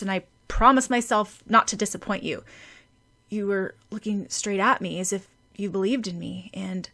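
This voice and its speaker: A woman's voice: medium pitch, bright, forceful tone. A woman voicing a bashful, earnest schoolboy with a hesitant, stammering manner in an audiobook. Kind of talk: audiobook character voice.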